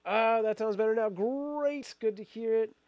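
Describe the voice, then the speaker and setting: A man's voice: sits high, measured pace, dry recording, highs cut off. Middle-aged man live-streaming with a cold. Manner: rambling, many fillers and restarts, laughs often. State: fighting a cold. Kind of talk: casual live-stream chat, reading viewer comments.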